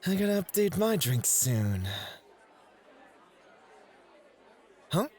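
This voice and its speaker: A man's voice: high voice, very animated, moderate pace, darkish tone, some chatter behind. A man playing a devoted, possessive and competitive club host in a romantic ASMR roleplay, polite and affectionate. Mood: affectionate, flustered.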